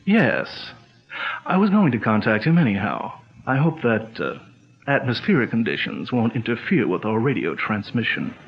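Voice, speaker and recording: An actor playing a steady, commanding male adventurer leading an expedition, in a measured 1940s radio drama style. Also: mid-range voice, faint music behind. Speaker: expedition leader. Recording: dull lo-fi old radio sound.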